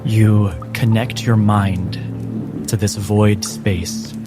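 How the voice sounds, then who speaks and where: A man running a tabletop game as game master, switching between rules talk and deliberate, dramatic narration. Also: on the low side, steady tone. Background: background music.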